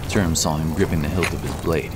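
A man's voice: extremely low pitch, fairly even intonation, brisk. A man reading scripted fantasy narration in a dramatic storytelling style. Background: prominent music.

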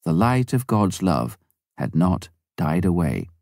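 Deep, dark-voiced man reading a memoir. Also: dry recording, studio quality. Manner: measured, even first-person reading. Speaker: audiobook reader. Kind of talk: scripted memoir narration.

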